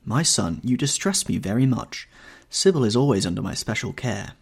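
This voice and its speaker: A faded actress mother from a storybook, acted out by a man, vain and complaining. The voice is husky and lifted in pitch, with genteel, stagey airs and a stately manner. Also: animated, normal speed. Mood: affected, self-satisfied. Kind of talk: audiobook character dialogue.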